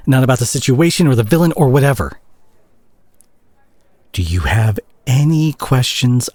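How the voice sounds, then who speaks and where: A man's voice: mid-range voice, husky, dry recording. A man playing a weary, worried superhero talking intimately to an injured teammate in an audio roleplay. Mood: exasperated worry. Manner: hushed, halting, sighing, turning exasperated.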